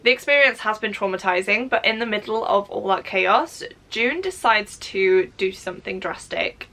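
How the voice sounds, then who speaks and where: A Welsh woman with a bright, forward voice and a middling pitch. She speaks informally and expressively, straight to her viewers, as a book vlogger does in a casual video. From Wales. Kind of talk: casual chatty vlog to camera.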